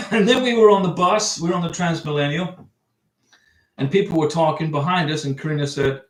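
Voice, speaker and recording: A man's voice: fairly high pitch, brisk, roomy sound, rough recording. A man hosting a livestream, chatting casually and rambling as he reads viewer comments and answers them. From United States. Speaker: livestream host giving visa advice. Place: home room.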